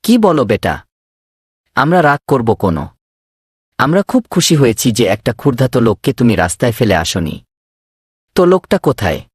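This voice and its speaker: A man voicing a generous middle-aged father in a children's cartoon, hospitable and gently insistent. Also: medium pitch, big pitch swings, moderate pace, long pauses, husky, no room sound, studio quality. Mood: welcoming. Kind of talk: children's moral cartoon dubbing.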